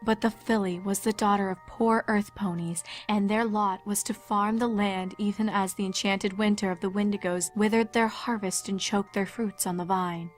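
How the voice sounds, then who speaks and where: Woman narrating a story over soft music. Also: mid-range voice, steady tone, moderate pace, few pauses. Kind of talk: scripted storybook narration of fan fiction. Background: background music.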